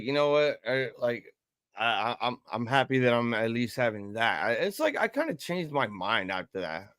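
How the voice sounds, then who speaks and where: A man's voice: medium pitch, clear, resonant tone, no echo. Man, 30s, stream host, casual rambling chat, swears, dry close sound. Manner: rambling, profane, with loud excited outbursts. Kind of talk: casual live reaction commentary. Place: home room at a table.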